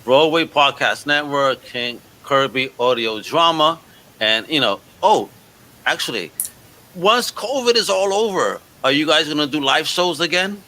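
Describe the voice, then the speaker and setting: Male podcast host, forceful bright tone, effusive, casual slang, chatting with guests. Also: fairly high pitch, lively intonation, unhurried, rough recording. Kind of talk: casual video podcast interview.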